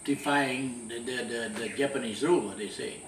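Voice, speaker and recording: A man's voice: medium pitch, normal speed. A man in his eighties from Indonesia, recalling his life in an interview, often restarting and repeating words. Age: 80s. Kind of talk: recalled wartime memories, conversational. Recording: roomy echo, distant mic, faint hum.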